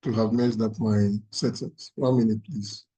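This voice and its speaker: A man from Ghana with a hoarse voice, presenting a seminar over a call and talking in a hesitant, apologetic way. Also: lowish voice, steady tone, measured pace, mellow. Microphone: online call audio.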